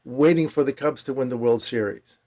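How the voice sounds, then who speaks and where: A man's voice: medium pitch, brisk, darkish tone. A man hosting a fiction podcast, interviewing guests and narrating stories. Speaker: podcast host and story narrator.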